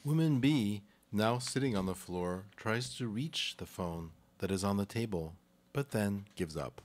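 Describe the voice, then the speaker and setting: Low male voice, scripted narration, stage directions, radio drama. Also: animated, unhurried.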